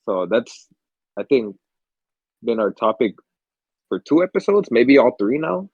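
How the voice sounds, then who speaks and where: A man's voice: mid-range voice, sing-song, a little slow, a little mellow, breathy edge, no echo, muffled recording. Stuffy young man telling stories. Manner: laid-back, swears freely, rambling storyteller. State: stuffy, under the weather. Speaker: podcast co-host. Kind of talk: casual chat.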